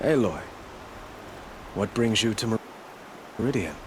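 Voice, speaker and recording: A man's voice: lowish voice, expressive, unhurried, slight background noise. A man playing an earnest, courteous king in a video game, speaking formally and carefully with some hesitance. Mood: concerned, formal. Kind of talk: video game cutscene acting.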